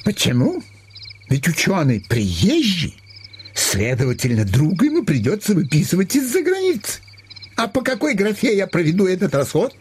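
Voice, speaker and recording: An actor playing an anxious, fussy finance minister obsessed with money, halting and theatrical, sliding between whimper and grumble. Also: male voice, high-pitched, wildly swooping pitch, darkish tone, slight background noise. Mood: worried. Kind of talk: Russian stage-comedy radio acting.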